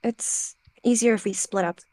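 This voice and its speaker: A woman's voice: mid-range voice, fairly even intonation, normal speed, warm tone, no room sound, natural voice. Woman as a casual she-cat, dry sound. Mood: agreeable.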